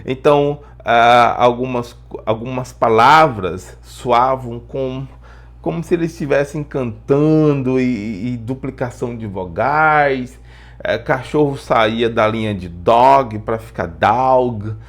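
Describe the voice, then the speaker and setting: A man's voice: medium pitch, slow. Brazilian man teaching, explanatory tone. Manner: explanatory, repeats phrases for emphasis, rhetorical questions. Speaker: English teacher. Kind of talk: informal spoken lesson with personal storytelling.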